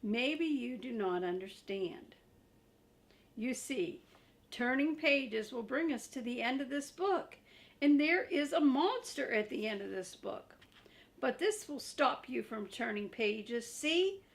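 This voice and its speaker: A woman's voice: high voice, animated, takes it slowly, gravelly, heavily acted. A woman voicing a lovable, frightened furry monster from a storybook, pleading emphatically and theatrically. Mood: earnest, insistent.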